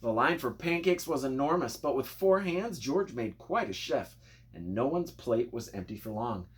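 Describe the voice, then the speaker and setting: A man narrating a children's storybook in an expressive, playful way, speaking directly to young listeners. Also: medium pitch, moderate pace. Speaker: storybook reader.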